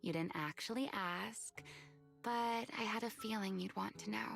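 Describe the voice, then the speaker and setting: Game role: guarded half-monster woman, weary, coy, measured, breathy with some creak, music underneath. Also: mid-range voice, a bit bright. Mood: coy, teasing. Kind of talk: video game voice acting.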